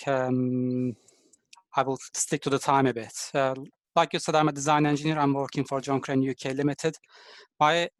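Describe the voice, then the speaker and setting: A man from Turkey with a Turkish accent, a design engineer giving a halting, friendly online presentation full of fillers over a video call. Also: fairly high pitch, dry recording.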